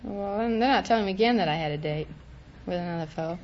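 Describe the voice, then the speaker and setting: A woman's voice: lowish voice, fast. A young woman, a non-native English speaker with a foreign accent, answering casually as a quiz contestant. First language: not English. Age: young adult. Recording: muffled noisy old radio recording.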